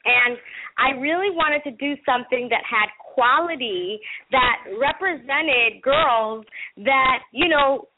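High-voiced enthusiastic woman over a phone line. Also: slow, a little hiss or hum. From Panama. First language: Spanish. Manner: enthusiastic, talkative. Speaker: interview guest, entertainment entrepreneur. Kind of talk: promotional interview talk. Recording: muffled narrow-band phone line.

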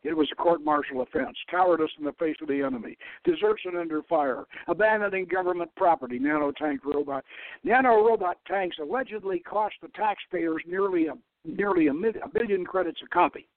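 A man's voice: high voice, brisk, dry recording. A man giving a dramatic reading of satirical science fiction, stumbling over words now and then and correcting himself. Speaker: host reading his own novel. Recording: lo-fi phone-like radio line.

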